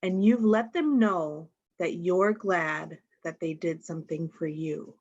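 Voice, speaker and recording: A woman's voice: on the low side, slow, a little mellow, muffled recording. A woman reading a picture book aloud to young children, expressively and addressing them directly with questions. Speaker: storytime reader.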